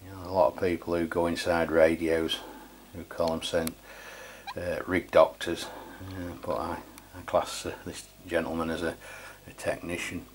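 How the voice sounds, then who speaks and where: A man's voice: low-pitched, flat delivery, moderate pace, a little hiss or hum. A middle-aged man giving a casual, matter-of-fact hands-on product review. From England. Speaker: radio review channel host.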